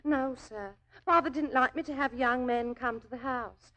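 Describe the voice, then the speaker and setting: A woman's voice: high voice, normal speed, a bit bright. An actress playing a naive, earnest, lovelorn young working woman in a 1940s radio drama, chatty and deferential, easily flustered. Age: young adult. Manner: deferential, chatty, flustered, with homely ungrammatical turns of phrase.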